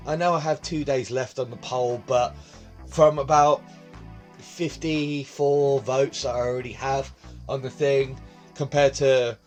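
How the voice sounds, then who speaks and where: A man in his late twenties, hosting his own vlog in casual, rambling chat, recorded on a phone in his bedroom. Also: mid-range voice. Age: 20s. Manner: rambling, chatty, repeats himself. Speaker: vlog host. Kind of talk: casual talking-to-camera vlog. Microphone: phone camera on tripod.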